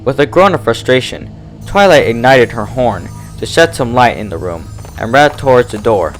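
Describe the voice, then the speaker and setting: A man's voice: medium pitch, moderate pace, faint music behind, rough recording. A man narrating a story in a dramatic, scripted reading style. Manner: animated, dramatic.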